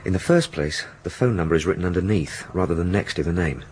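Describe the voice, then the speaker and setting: An actor playing an earnest, methodical police sergeant in a radio drama, explaining eagerly. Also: male voice, sits low, expressive, quick pace, a little mellow, a little hiss or hum. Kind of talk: naturalistic British radio drama acting.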